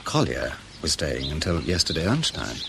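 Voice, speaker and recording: An actor playing a sceptical, exacting British intelligence officer in a radio spy drama, educated, dry and probing, with a testy edge. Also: male voice, extremely low pitch, sing-song, moderate pace, vocal fry, noisy background, exaggerated voice.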